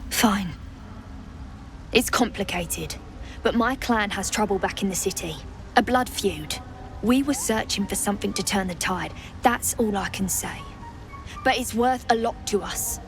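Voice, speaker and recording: An actress playing an injured, earnest dwarf artificer in a fantasy game, blunt and weary in manner. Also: female voice, medium pitch, measured pace, faint music behind, natural voice. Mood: urgent, guarded.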